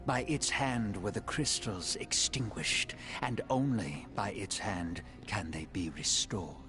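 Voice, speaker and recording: Suave, very slow-talking dark elf. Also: male voice, lowish voice, over music. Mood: ominous, amused. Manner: theatrical, drawn-out, mock-courteous delivery. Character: suave, theatrical male dark elf, slyly amused. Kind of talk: scripted video game cutscene acting.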